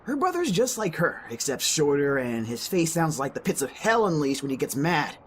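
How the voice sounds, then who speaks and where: A man playing a friendly, excitable young man in an amateur audio drama, talking in casual slang. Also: on the high side, normal speed, a little hiss or hum. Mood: candid.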